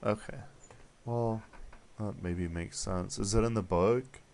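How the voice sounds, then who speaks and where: A man's voice: lowish voice, takes it slowly, gravelly, darkish tone, slight background noise. A young man making a prank phone call, talking hesitantly and awkwardly with lots of fillers. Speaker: prank caller.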